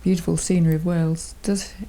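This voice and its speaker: Gravelly woman interviewer, chatty. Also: on the low side, flat delivery, fast, warm tone. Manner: agreeable, chatty, laughs easily. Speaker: interviewer and concert organist. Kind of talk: conversational interview.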